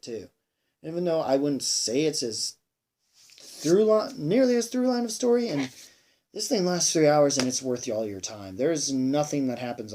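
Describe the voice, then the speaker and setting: A man giving a casual, unscripted movie review, rambling and halting, repeating himself emphatically and sounding a bit tired. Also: mid-range voice, warm tone. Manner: sweary, emphatic. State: tired, sighing.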